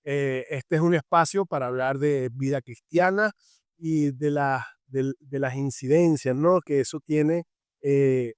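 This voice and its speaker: A man's voice: mid-range voice, unhurried, clear, resonant tone, no room sound. Venezuelan man hosting a faith podcast. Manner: warm welcoming, many fillers and restarts. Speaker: podcast co-host.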